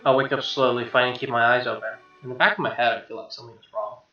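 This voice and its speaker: A man's voice: mid-range voice, steady tone, rapid-fire, roomy sound, muffled recording. A man reading visual novel narration aloud as a let's player, with offhand asides. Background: soft game music with guitar.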